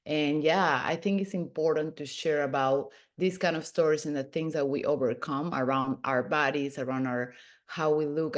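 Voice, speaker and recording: A woman in her thirties from Peru, a podcast guest telling her own story in an earnest way, often restarting her sentences. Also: low-pitched. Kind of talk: casual interview.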